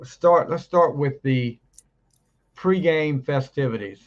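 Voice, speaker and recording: A man co-hosting a casual podcast, rambling and laughing, his voice deeper than usual after the flu. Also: slow pace, highs cut off. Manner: rambling, laughs often, trails off mid-sentence. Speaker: podcast co-host. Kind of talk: casual chat.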